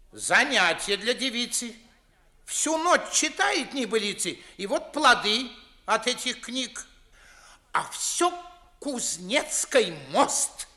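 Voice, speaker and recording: Pompous grey-haired official, scolding father, sharp bright voice, slow swooping declamation, 1950s radio theatre. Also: very high voice, exaggerated voice. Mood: indignant grumbling. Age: older. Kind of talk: 1950s theatrical radio play acting in verse.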